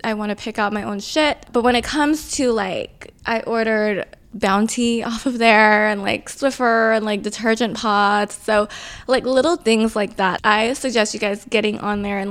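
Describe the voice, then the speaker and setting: A chatty woman hosts a podcast with a slightly high voice. She talks loosely, full of "like", and swears freely. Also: fairly even intonation, a little slow, clear, resonant tone. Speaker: podcast host. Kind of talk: casual podcast chat.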